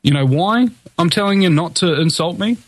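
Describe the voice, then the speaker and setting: A man's voice: high voice, lively intonation, fairly quick, dry recording. A man hosting his own show, ranting defiantly in a solo monologue full of fillers and restarts, with a somewhat whiny voice. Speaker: podcast host.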